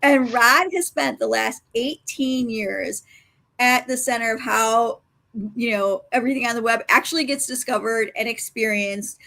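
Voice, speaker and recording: Woman host, kinda high voice w some vocal fry, chatty and loose. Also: normal speed, sharp tone, rough recording. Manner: chatty, with fillers and restarts. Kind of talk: casual interview introduction.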